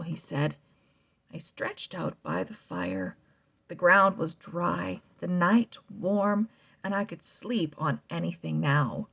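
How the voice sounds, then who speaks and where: A woman reading a children's novel aloud, slow and expressive, sometimes dropping to a soft whisper. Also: lowish voice, vocal fry, husky. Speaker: read-aloud narrator.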